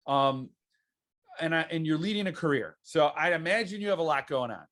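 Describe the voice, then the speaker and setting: A man's voice: on the high side, lively intonation, brisk, a bit bright. A man from the United States hosting a casual interview, chatty and self-deprecating, with frequent restarts. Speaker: podcast host.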